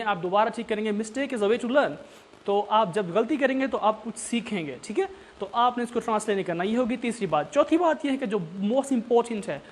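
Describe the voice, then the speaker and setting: A man, a Saraiki speaker, with a fairly high voice, talks learners through tips in a loose, unscripted lesson. He repeats his points and keeps checking that everyone is following. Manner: repetitive, coaxing, often checks understanding. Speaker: English tutor. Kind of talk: informal instructional talk.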